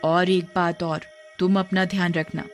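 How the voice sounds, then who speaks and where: A woman's voice: fairly low pitch, little pitch movement, unhurried, soft background music, lightly acted. A woman playing a cautious, secretive next-door neighbour in a cartoon story.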